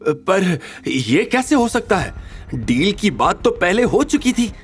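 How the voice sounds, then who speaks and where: A man's voice: high voice, sing-song, slow, voice pushed up, full character voice. A scheming middle-aged mother from an audio drama, acted by a man. She coaxes slyly and greedily, her lifted voice swinging up and down over faint music. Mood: shocked. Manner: coaxing, sly. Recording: music bed behind.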